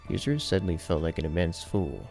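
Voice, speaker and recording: A man narrates an audiobook in a very deep voice with heavy vocal fry. His serious, dramatic reading moves through a wide range of pitch. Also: big pitch swings, fast, a little mellow, soft background music.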